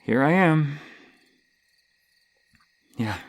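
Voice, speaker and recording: A man's voice: fairly high pitch, expressive, dry recording, pitched above the performer's own voice. A man playing a lonely, insecure masked killer in an intimate horror ASMR roleplay, stammering and flustered. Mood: hesitant, self-loathing. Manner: stammering, halting, breathy sighs and nervous laughs.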